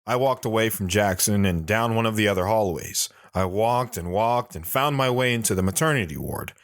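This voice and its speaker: Reflective man recalling boyhood acted by a man, lowish voice, dry close sound, audio drama narration. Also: moderate pace, no echo, close to an everyday voice. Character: reflective grown man recounting his boyhood memories. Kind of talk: first-person audio drama storytelling.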